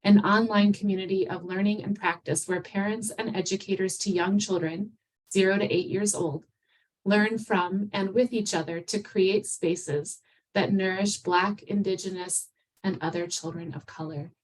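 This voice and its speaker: Female symposium host reading a prepared introduction with small slips; a little off-mic, mid pitch. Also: fairly even intonation, moderate pace, recorded from a distance, rough recording.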